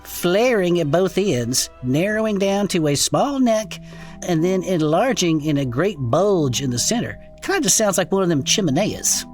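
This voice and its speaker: A performer playing a folksy, excitable male podcaster in a comedic audio drama, reading strange reports aloud with incredulous asides. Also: mid-range voice, moderate pace, soft background music, natural voice. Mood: amused, intrigued. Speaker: co-host reading case reports.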